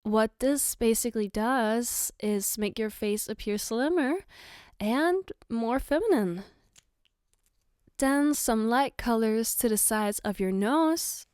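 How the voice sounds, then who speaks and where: A woman playing a caring, playful girlfriend in an ASMR roleplay, gently reassuring and patiently explaining as she gives soft personal attention. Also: fairly high pitch, clear, resonant tone, dry recording, studio quality. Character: caring, playful girlfriend doing her partner's makeup.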